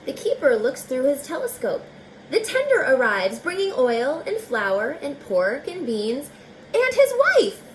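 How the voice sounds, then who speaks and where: A woman's voice: high-pitched, huge pitch swings, background noise. A woman teacher reading a children's picture book aloud expressively, with chatty asides spoken directly to young listeners. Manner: slow, deliberate phrasing, talking directly to young listeners.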